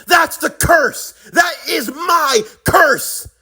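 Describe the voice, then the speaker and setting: A man acting as a bitter, defiant young man in an anime drama, his voice swinging widely in pitch. He has a gravelly creak and hits his profane taunts with heavy emphasis. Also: sing-song, a little slow, a bit bright, full character voice. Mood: anguished fury.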